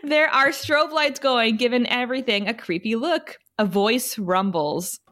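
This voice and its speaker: A woman's voice: fairly high pitch, a little slow, fairly bright tone. A woman narrating stage directions for a scripted table read.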